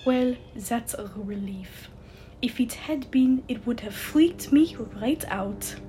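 A woman voicing a small, boastful, theatrical male fantasy creature with an exaggerated French accent, declaiming pompously and excitedly in a storybook reading. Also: a little high, takes it slowly, a little hiss or hum. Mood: indignant. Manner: shrieking, bouncing, pompous declamation.

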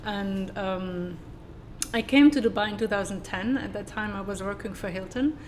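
A woman's voice: medium pitch, normal speed, darkish tone, slight background noise. A woman from southern Germany with a German accent, speaking as a guest in a casual interview and talking hesitantly with many fillers and restarts. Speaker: guest, sustainability consultant.

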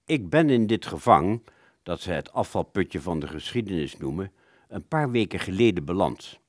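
An actor in a radio play, playing the aggrieved, wordy ghost of an old-time film director, theatrical and indignant. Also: male voice, deep voice, dry recording, clean studio sound.

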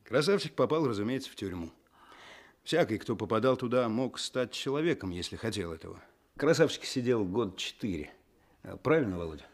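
An actor playing an amiable, earnest writer who was once a young detective, in a Soviet radio play. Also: male voice, medium pitch, lively intonation, a little fast, natural voice. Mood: warm reminiscing.

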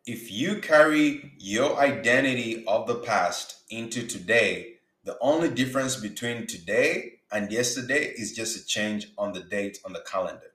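A man's voice: on the low side, moderate pace, few pauses, a bit bright. Earnest man from Uganda, roomy echo. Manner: earnest, repeats words when emphasizing. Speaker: faith and business speaker. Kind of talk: motivational talk.

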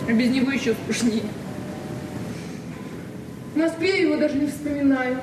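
An actress playing a breezy, flippant young student daughter, slangy and offhand, in an echoey old radio play. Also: female voice, sits high, normal speed, distant mic, music in the background, natural voice. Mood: offhand, defensive. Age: young adult. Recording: echoey, noisy 1970s radio play recording.

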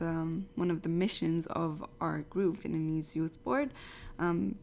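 A woman's voice: medium pitch, vocal fry, a little mellow, slight background noise. Earnest woman with frequent fillers. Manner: earnest, filler-heavy, searching for words. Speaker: youth group member. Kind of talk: casual discussion.

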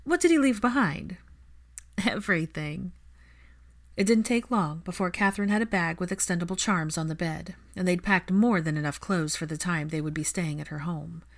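A woman's voice: lowish voice, brisk, hardly any pauses. A woman reading fiction aloud as a scripted audiobook-style narration.

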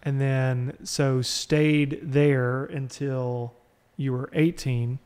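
A man's voice: medium pitch, fairly even intonation, drawn-out words, darkish tone, smooth. A man hosting a podcast, friendly and upbeat as he questions his guest. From Arkansas. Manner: friendly, upbeat, self-deprecating. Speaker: podcast host. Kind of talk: casual interview chat.

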